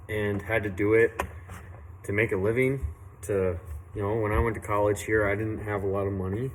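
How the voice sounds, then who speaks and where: Brief: young working man from Illinois, low voice, plain and earnest, recorded outside with faint noise. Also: low-quality recording. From western Illinois, USA. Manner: unhurried, earnest, with many fillers and restarts. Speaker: tree service owner. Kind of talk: casual personal story to camera. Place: outdoors at a work site, doing saw maintenance.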